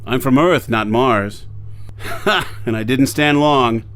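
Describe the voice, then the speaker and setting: An actor playing a lovestruck outlaw adventurer from Earth in a pulp radio drama, earnest and dramatic. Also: male voice, medium pitch, slow pace, a bit bright. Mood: wry, concerned. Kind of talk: first-person pulp radio drama narration and dialogue.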